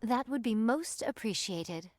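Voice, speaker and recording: A woman's voice: fairly high pitch, animated, measured pace, a little mellow, dry recording, studio quality. An actress playing a composed, dignified female sword fighter in a video game, with formal, old-fashioned phrasing. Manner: formal, archaic wording, composed.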